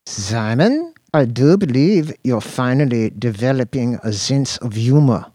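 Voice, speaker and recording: A man's voice: mid-range voice, animated, unhurried, mellow, no room sound, clean studio sound, voice pushed up. A man voicing a petulant, scrawny male detective who squeals, whines and chants in a sing-song way. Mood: sneering.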